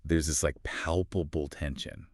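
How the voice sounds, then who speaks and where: A man's voice: a bass voice, fairly even intonation, measured pace, no room sound, studio quality. A man co-hosting a podcast, chatting casually and informally about books in a conversational, agreeing way. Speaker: podcast co-host. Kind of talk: casual book discussion chat.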